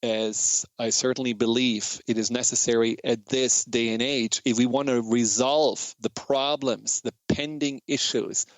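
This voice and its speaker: A man's voice: medium pitch, steady tone, a little slow, few pauses, no echo, rough recording. Earnest German-accented man, dry sound. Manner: earnest, searching for words, frequent restarts. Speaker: radio show host. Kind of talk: reflective monologue on a talk show.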